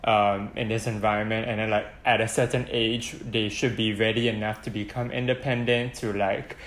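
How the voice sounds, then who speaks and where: A man's voice: on the low side, flat delivery, a bit bright. Thoughtful young man in an echoey room. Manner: thoughtful, measured, searching for words. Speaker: podcast co-host. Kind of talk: casual chat.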